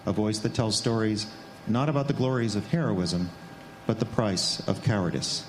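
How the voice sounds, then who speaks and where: A man's voice: on the low side, moderate pace. A man reading a scripted introduction to a radio play as a stage announcer. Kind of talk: scripted narration. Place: stage before a live audience. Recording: echoey live hall, faint noise.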